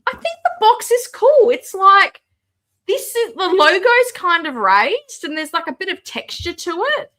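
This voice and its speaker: Chatty, high, lively woman streamer. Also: unhurried. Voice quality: self-described booming voice. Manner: chatty, reads viewer comments aloud, laughs at herself. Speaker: host of a live toy collecting show. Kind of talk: casual live-stream chat and unboxing. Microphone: desk mic, moved away at times.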